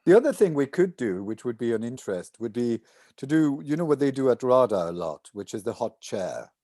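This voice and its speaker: A man's voice: mid-range voice, sing-song, brisk, warm tone, no echo. Man thinking aloud, dry sound. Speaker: voice actor at a table read. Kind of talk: casual planning discussion.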